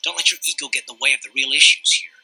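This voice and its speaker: An actor playing a seasoned, plain-spoken soldier in a video game, earnest and firm. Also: male voice, mid-range voice, steady tone, rapid-fire, gravelly, pushed and bright, slightly rough, exaggerated voice, low-quality recording. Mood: stern. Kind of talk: dramatic video game voice acting.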